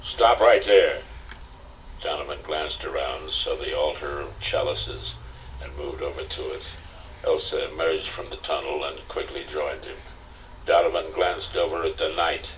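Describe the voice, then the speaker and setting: A man narrates an audiobook in a very deep voice. His scripted delivery is dramatic. The tape has faint noise and sounds slightly distant. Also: level tone, moderate pace, a bit bright. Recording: distant, noisy cassette audiobook recording.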